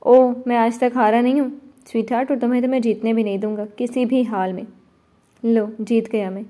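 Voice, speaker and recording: A smug, boastful young man who teases and brags, as a woman reading a novel voices him. The tone is mellow and a little high. It is told as a storybook reading. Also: fairly even intonation, a little fast, close to an everyday voice. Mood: teasing. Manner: smug, teasing.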